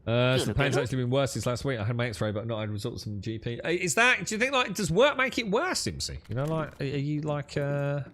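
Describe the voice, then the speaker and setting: A man's voice: medium pitch, sing-song, fairly quick, few pauses, fairly bright tone. A young man streaming games, chatting with friends in a blunt, sweary, dismissive way. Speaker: gaming streamer. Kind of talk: casual gaming stream chat.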